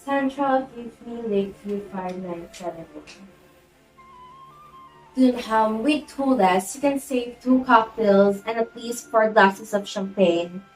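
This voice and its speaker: Snobbish hostess for a stage comedy, played by a woman: theatrical, highish pitch, distant mic, background music. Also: slow pace. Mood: brisk, calculating. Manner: theatrical, affected, imperious. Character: snobbish, scheming society hostess and mother. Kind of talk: amateur stage-play acting.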